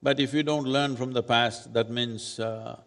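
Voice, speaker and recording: A man in his sixties, seated on stage at a summit, answering questions in a measured, deliberate and firm way. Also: medium pitch, steady tone, a bit bright. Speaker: spiritual leader speaking as summit panelist. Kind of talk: onstage panel answer. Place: large conference hall stage. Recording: reverberant conference hall.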